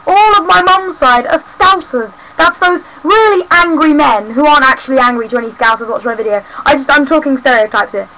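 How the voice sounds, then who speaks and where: Chatty high-voiced young London woman. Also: background noise. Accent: London English. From South West London, England. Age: young adult. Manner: chatty, cheeky and ranting. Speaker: vlogger. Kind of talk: casual rambling vlog. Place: home room.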